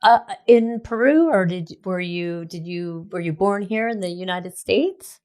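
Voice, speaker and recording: A woman's voice: on the low side, unhurried, darkish tone. A woman hosting an interview podcast, upbeat and enthusiastic, asking questions with a few restarts. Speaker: podcast host. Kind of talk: casual interview.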